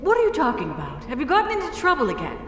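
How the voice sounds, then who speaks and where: A woman's voice: high voice, wildly swooping pitch, very fast, background noise. A motherly queen of the gods, acted by a woman, concerned and a little scolding. Her questions rise and fall anxiously. The voice comes from a distance through long reverb in an audio drama. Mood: worried. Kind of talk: comic-book audio drama dialogue. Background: music.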